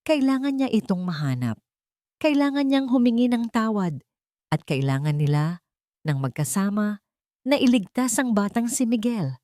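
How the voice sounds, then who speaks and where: A woman narrating a scripted dramatic story in a suspenseful way, pausing between short phrases. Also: fairly high pitch, very animated, dark tone, no echo, studio quality.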